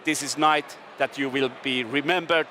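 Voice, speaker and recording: Male stream host, Finnish accent, upbeat and playful, mid-pitched. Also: fairly even intonation, moderate pace, pushed and bright, slight background noise. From Finland. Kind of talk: live event stream hosting. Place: desk upstairs at a restaurant venue.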